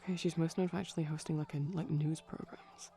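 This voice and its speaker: A man speaking softly in a chatty, rambling ASMR style, often dropping to a whisper, digressive and tentative. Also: fairly high pitch, fairly even intonation, quick pace, creaky, faint music behind. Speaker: ASMR performer. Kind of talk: soft-spoken rambling ASMR commentary on a film. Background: TV film audio playing in background.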